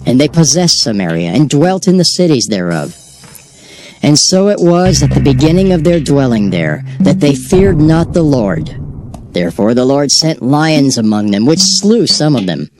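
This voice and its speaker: A man's voice: medium pitch, very animated, noisy background. Solemn male scripture narrator. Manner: measured, solemn reading cadence.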